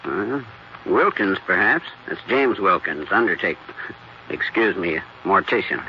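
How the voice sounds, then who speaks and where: An actor playing a prim, formal family doctor with an American accent and a fussy, hesitant manner in a 1940s radio drama. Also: male voice, low voice, expressive, measured pace. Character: prim, matter-of-fact general practitioner doctor. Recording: dull, hissy 1940s radio recording.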